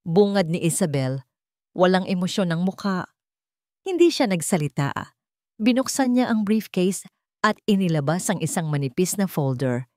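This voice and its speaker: A woman narrates a scripted romance drama as its storyteller. Her pitch sits on the low side for a woman. The delivery is dramatic, and the recording is dry with no room sound. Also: warm tone, dry recording.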